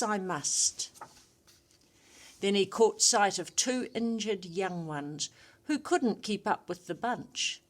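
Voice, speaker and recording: Slow-paced woman reading a children's story. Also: mid-range voice. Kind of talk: expressive children's story reading in rhyming verse.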